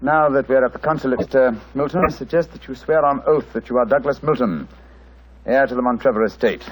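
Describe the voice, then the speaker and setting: A man playing a composed, shrewd gentleman detective, formal and precise, in old radio drama style. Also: medium pitch, brisk, a little hiss or hum, close to an everyday voice, poor audio. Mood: stern, accusing. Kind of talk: 1940s radio drama acting.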